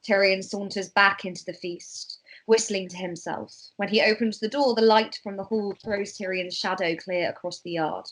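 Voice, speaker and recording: A woman's voice: mid-range voice, steady tone, normal speed, few pauses, a bit bright, rough recording. A woman reading scripted narration and stage directions in an amateur table read.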